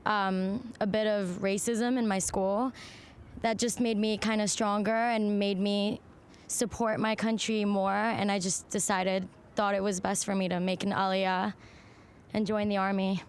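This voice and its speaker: A woman's voice: mid-range voice, level tone, unhurried, smooth. A young woman from Thailand, a soldier, answering casually in a personal interview. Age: young adult.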